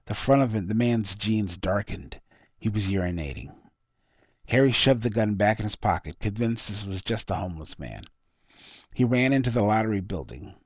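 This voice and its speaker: A man with a low voice narrates fiction from a script, as an author reading his own work. The recording is dry and somewhat dull, with no room sound. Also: lively intonation, normal speed, husky, no echo.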